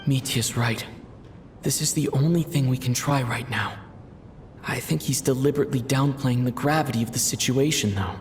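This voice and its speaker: An actor playing a reflective young male traveler in a video game, speaking quietly and introspectively. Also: mid-range voice, moderate pace, a little hiss or hum, lightly acted. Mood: thoughtful. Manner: quiet, introspective, even-toned.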